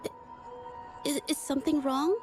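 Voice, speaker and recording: A woman's voice: high-pitched, fairly even intonation, moderate pace, music in the background, lightly acted. An actress playing an earnest, timid but determined young woman painter in a video game, speaking with hesitant stammers. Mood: nervous but determined.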